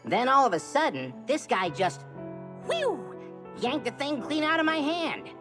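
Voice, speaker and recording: A cheeky, good-hearted little adventurer guy from a video game. He swaggers and boasts in folksy, casual talk, with big swings in pitch. Music plays behind him. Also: sing-song, measured pace, full character voice. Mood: boastful storytelling. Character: small cheeky treasure-hunting male wanderer, boastful, good-hearted. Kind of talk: video game cutscene acting.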